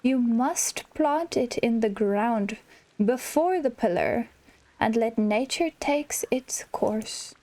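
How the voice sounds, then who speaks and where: A woman reading aloud the lines of a helpful old male wizard from a video game, in a measured, deliberate way. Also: a little high, expressive, mellow. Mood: explaining.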